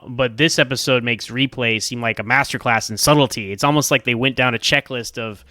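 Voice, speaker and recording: A man's voice: mid-range voice, bright, forceful tone, clear, resonant tone, dry recording, studio quality. A man giving a casual, rambling TV review as a podcaster, stammering his way through his opinions. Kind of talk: casual spoken TV episode review.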